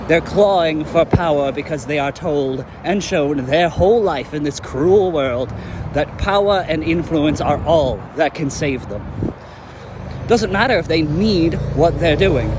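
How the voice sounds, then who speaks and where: A man's voice: fairly high pitch, noisy background, lightly acted. A man voicing a bitter male villain who lectures his rival in a preachy, accusing way. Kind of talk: fan audio drama acting.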